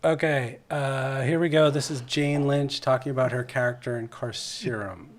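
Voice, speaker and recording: A man with an average-pitched voice hosts a podcast. He talks in a casual, conversational style as he guides his guests along. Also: fairly even intonation, moderate pace. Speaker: podcast host. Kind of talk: casual chat.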